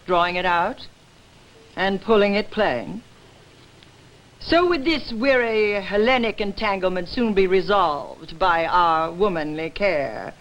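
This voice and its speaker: A woman's voice: lowish voice, close to an everyday voice, poor audio. A woman playing a proud, persuasive ancient Greek woman arguing against war, in an emphatic, precise, declamatory staged verse reading. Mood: assured.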